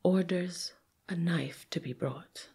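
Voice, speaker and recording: Slow, husky woman telling a folktale. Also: on the low side, a little mellow. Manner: suspenseful, deliberate pauses and repetition. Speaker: storyteller. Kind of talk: dramatic oral folktale narration.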